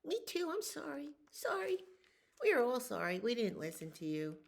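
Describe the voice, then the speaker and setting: A woman's voice: fairly high pitch, wildly swooping pitch, moderate pace, gravelly, pitched above the performer's own voice, full character voice. A woman voicing a group of bossy, know-it-all pond frogs in a raised, teasing voice that sometimes drops to a whisper. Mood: complaining.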